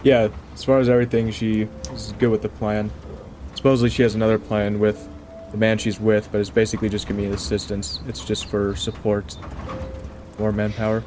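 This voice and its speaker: A man's voice: lowish voice, level tone, a little fast, music in the background. A man playing a tabletop game, improvising his lines in a casual, conversational way. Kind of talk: casual improvised roleplay chat. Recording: noisy background ambience.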